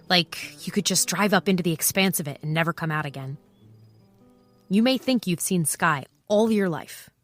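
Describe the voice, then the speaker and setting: A woman's voice: lowish voice, fairly bright tone, lightly acted. An actress playing a wry, world-weary woman who narrates a spoken travel journal in an audio drama, reflective, often in clipped fragments.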